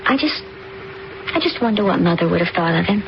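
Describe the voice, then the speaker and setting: A woman's voice: fairly low pitch, animated, brisk, creaky. An actress playing a stubborn, wistful young woman in a radio drama. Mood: earnest. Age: young adult. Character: stubborn, wistful young daughter resenting her stepmother. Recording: dull, noisy old radio recording.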